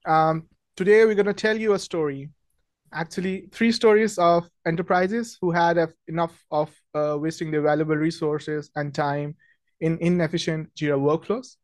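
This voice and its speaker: Young man from Pakistan, friendly webinar host, slightly higher voice, dry close sound, many fillers. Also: steady tone, moderate pace, dry recording. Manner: friendly, chatty, many ums and restarts. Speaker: webinar host, product marketing manager. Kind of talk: casual webinar presentation.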